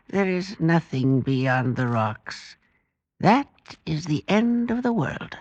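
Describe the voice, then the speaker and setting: An actor playing an amused, dogmatic old blind village elder in an old-time radio drama, making condescending pronouncements. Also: male voice, on the high side, very animated, slow pace, darkish tone, no echo, exaggerated voice. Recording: dull lo-fi vintage radio recording.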